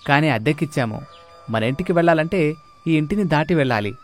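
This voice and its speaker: Man playing a high-pitched, placating young husband. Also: expressive, fairly quick, soft background music, close to an everyday voice. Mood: explaining. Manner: reassuring, explanatory. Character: thirty-year-old husband, placating, cautious son. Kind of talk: animated moral story dialogue.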